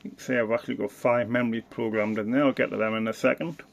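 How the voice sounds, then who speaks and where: A man giving a casual, unscripted product demonstration as a hobbyist reviewer, halting and thinking aloud as he goes. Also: mid-range voice, steady tone, gravelly.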